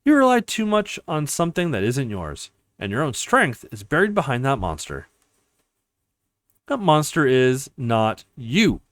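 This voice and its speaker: A man's voice: medium pitch, huge pitch swings, unhurried, no room sound, studio quality. A man voicing a cocky, haughty retired female mercenary who taunts her opponent, in a visual novel read-aloud. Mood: stern, scornful.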